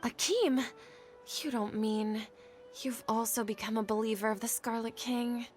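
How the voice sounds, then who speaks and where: A woman's voice: a little high, unhurried, darkish tone, soft background music, close to an everyday voice. A woman playing an earnest, anxious young female scholar in an anime video game, easily flustered. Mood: shocked, flustered. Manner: earnest, flustered, stammering under pressure.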